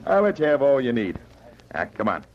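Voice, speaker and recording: An actor playing a sly, glib swindler with folksy, shifty speech in an old-time radio drama. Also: male voice, medium pitch, big pitch swings, a little fast, a little hiss or hum, lightly acted. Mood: falsely hearty. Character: sly, glib male swindler feigning friendship. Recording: muffled old radio recording.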